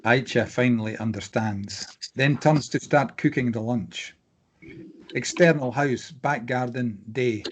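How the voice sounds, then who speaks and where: A man reading screenplay stage directions aloud as scripted narration, with a slightly husky voice. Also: mid-range voice, measured pace, slight background noise.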